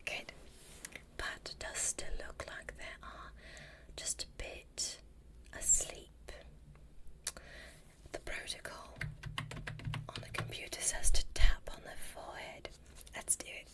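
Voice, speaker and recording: Woman to play courteous alien space doctor for ASMR roleplay; hushed whisper, unhurried, soothing instructions. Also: lightly acted. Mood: pleased, observing. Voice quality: soft breathy whisper. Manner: slow, soothing, gently instructing. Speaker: ASMR performer.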